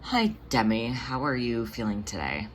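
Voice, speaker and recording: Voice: medium pitch, expressive, moderate pace, a little hiss or hum, lightly acted. A narrator voicing a caring female cat healer in a careful, hesitant, reassuring way. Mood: gentle, caring.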